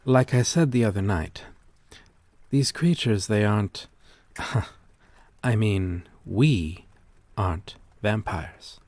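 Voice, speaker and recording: A man performs a vampire-like gentleman monster in an intimate ASMR drama. His voice sits on the low side and moves very slowly. He is courteous and protective, with old-fashioned, formal phrasing and a melancholy gentleness. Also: animated, no echo. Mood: hesitant, wistful. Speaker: roleplay voice performer. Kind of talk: ASMR roleplay acting.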